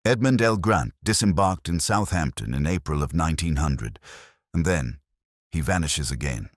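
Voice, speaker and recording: A man's voice: rumbling low, animated, normal speed, no echo, studio quality. A man voicing a shrewd, grave Victorian detective in an audiobook, speaking with precise, formal deduction. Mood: grave, resolute. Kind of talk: audiobook character dialogue.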